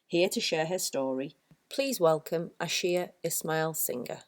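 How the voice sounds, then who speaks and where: Low-voiced woman podcast host. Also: measured pace. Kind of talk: scripted guest introduction and chat.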